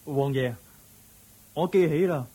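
A man's voice: fairly high pitch, slow pace, warm tone, slightly rough. An actor with a Hong Kong Cantonese accent playing a hesitant, penniless, lovestruck young man in a radio drama. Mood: earnest. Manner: hesitant, deferential, earnest.

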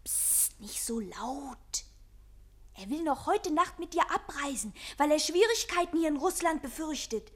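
A very high-pitched young daughter in a radio play. She is devoted and anxious, speaking in an urgent, secretive, theatrical way. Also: lively intonation, a little slow.

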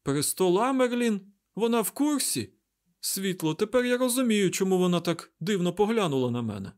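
A male narrator plays a hot-headed, jittery young man in a fantasy audiobook. The voice is pushed high and loud, sputtering and exclaiming with agitation. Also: expressive, moderate pace. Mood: agitated. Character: panicky, hot-tempered young man, blurting and cursing.

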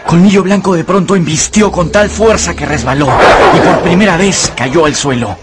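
A man's voice: sits high, normal speed, heavy background noise, natural voice. A man narrating a grim adventure story as a dramatic, scripted radio play. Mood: tense.

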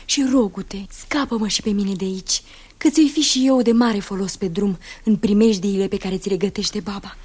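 An actress playing an anxious young maiden who speaks in a hushed, urgent, breathy way, in 1960s radio drama acting. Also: female voice, mid-range voice, close to an everyday voice.

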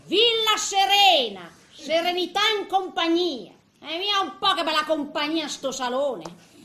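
A feisty old woman with a Tuscan accent grumbles through a comic theatre monologue. Her voice is bright and sharp, swooping up and down, with muttered asides and exclamations. It rings in a roomy, echoing space. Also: extremely high pitch, sing-song, a little slow, heavily acted. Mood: mocking, grumbling. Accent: Tuscan Italian. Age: older. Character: feisty, grumbling eighty-year-old woman in a nursing home.

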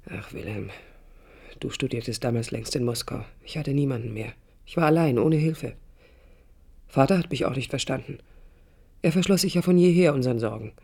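An actress playing a disillusioned young woman architect in a radio drama, recalling her life in a low, husky, intimate monologue. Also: moderate pace, long pauses, warm tone, full character voice. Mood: resigned. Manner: reflective, intimate, literary.

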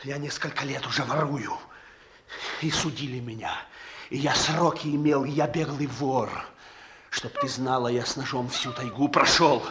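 An actor in a Russian radio drama playing a bitter, hot-tempered runaway pickpocket, slangy, sneering and defiant. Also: male voice, mid-range voice, fairly even intonation, moderate pace, fairly bright tone, lightly acted. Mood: bitter confession. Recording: noisy, echoey old radio drama recording.

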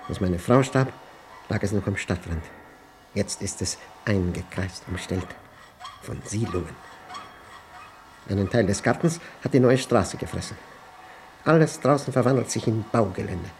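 An actor playing a brooding, suspicious old widower who speaks a halting, introspective diary monologue in a German radio play. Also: male voice, fairly low pitch, animated, a little hiss or hum. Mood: bitter, resigned. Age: older. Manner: halting, deliberate, broken phrases.

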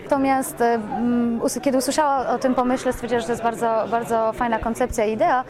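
A woman's voice: a little high, flat delivery, brisk. A woman giving a spontaneous interview as a producer, effusive and rambling, often correcting herself mid-sentence. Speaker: radio play producer being interviewed. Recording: busy crowd chatter behind voice. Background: crowd at a public event.

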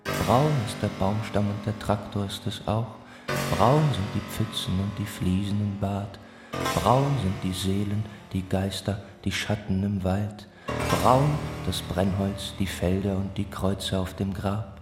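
A man's voice: lowish voice, steady tone, unhurried, close to an everyday voice. A man reciting poetic radio play text in an incantatory, list-like manner. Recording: roomy echo, guitar music behind. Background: plucked guitar music.

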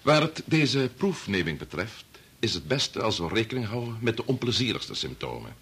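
Radio play: conscience-torn middle-aged scientist and father, deliberate and solemn, somewhat rough-edged voice with expressive swings. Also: fairly low pitch, heavily acted. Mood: grave, explaining. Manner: deliberate, hesitant, formal. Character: grave, conscience-torn male chief bacteriologist, father and husband. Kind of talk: Dutch radio play acting.

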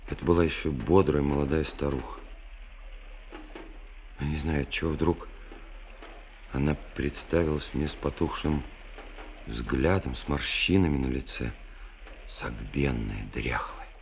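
A man's voice: extremely low pitch, unhurried, long pauses. An actor playing a lonely, melancholy dreamer, narrating his story in a reflective, wistful literary radio drama style. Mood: gloomy, reflective. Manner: reflective, wistful, breaking into whispers.

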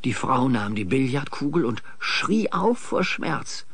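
An actor narrating a children's radio play in a measured, scripted storytelling style. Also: male voice, mid-range voice, very animated, fairly bright tone, husky, exaggerated voice.

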